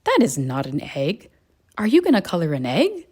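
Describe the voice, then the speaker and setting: A woman's voice: fairly low pitch, wildly swooping pitch, normal speed, darkish tone, husky, heavily acted. A woman voicing a bossy, exasperated crayon in a children's storybook, indignant and full of questions. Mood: skeptical. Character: bossy, exasperated purple crayon correcting the others. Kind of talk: children's storybook read-aloud.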